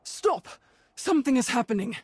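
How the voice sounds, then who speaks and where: Stern, terse Sith lord. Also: female voice, fairly high pitch, big pitch swings, moderate pace, a little mellow, husky, no echo. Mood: alarmed. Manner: authoritative, terse. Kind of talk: video game cutscene acting.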